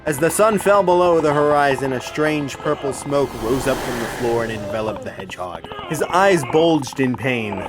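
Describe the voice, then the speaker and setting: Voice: medium pitch, lively intonation, moderate pace, few pauses, over music, poor audio. A narrator reading a scripted audiobook in a dramatic storytelling manner.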